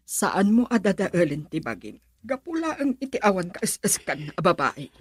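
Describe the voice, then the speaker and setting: A woman's voice: lowish voice, big pitch swings, moderate pace, slightly rough, dry recording, studio quality. A Filipino woman playing an anxious, coaxing mother of a grown son in a radio drama. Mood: worried, pleading.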